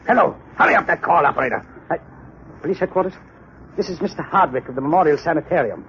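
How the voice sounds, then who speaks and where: A man's voice: on the high side, fast talker, fairly bright tone. Officious laboratory man, muffled old radio. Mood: accusing, urgent. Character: officious, suspicious male sanitarium laboratory chief. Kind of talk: 1930s radio mystery acting. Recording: dull, noisy 1930s radio recording.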